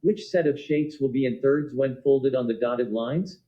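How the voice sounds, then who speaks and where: A synthetic computer voice reading test questions aloud in a flat, literal way, with a muffled playback sound. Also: mid-range voice, normal speed.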